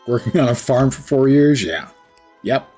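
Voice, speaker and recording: A man's voice: mid-range voice, expressive, moderate pace, warm tone, soft background music. A man chatting casually and rambling while he livestreams a game. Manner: rambling, self-mocking.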